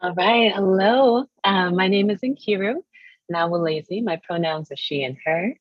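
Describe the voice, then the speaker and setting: A woman's voice: medium pitch, unhurried, no echo. A woman taking part in a reading, giving reflective, informal remarks on a dull, muffled recording. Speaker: reader, event participant.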